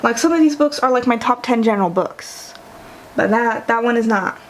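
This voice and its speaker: A woman's voice: on the high side, moderate pace, slight background noise. A young woman vlogging casually about books, chatty and enthusiastic, correcting herself often and using plenty of fillers. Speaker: book vlogger. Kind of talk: casual vlog tier ranking.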